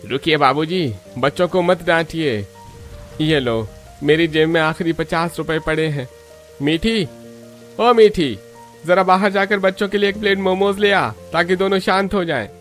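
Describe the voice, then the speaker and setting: पुरुष की आवाज़ में दिलासा देता ऊँची आवाज़ वाला पिता. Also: male voice, on the high side, normal speed, a bit bright, faint music behind, lightly acted. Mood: soothing. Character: kind, soothing young father of the family. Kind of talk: Hindi cartoon story acting.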